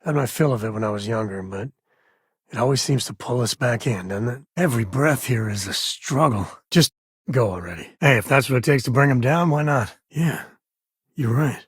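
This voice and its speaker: Casting: male actor for easygoing space-western ranger dad, video game. Husky, folksy, wry, mid-pitched, close dry recording. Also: normal speed, dry recording, lightly acted. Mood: wry, reflective. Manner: folksy, wry, casual drawl of phrasing. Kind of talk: video game voice lines, conversational.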